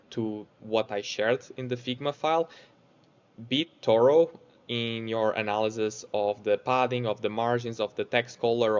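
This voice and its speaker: A man's voice: medium pitch, clean tone, muffled recording. A man with a Portuguese accent giving a casual screen-share tutorial, speaking informally and hesitantly with lots of filler words. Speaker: software developer presenting a tutorial.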